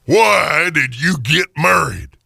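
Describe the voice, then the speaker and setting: Bitter old miser, gravelly, forceful, curt and sarcastic, swooping pitch, radio drama. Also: male voice, animated, slow, dry recording, studio quality, heavily acted. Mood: scornful. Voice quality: raspy, gravelly.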